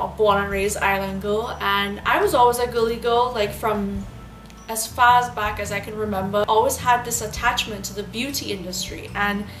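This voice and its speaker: A woman's voice: mid-range voice, fairly bright tone, reverberant, poor audio. A woman in her thirties with a Trinidadian accent, telling a rambling personal story in a casual vlog, full of "like" and "you know.". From Trinidad and Tobago. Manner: chatty, rambling, many fillers. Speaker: beauty vlogger.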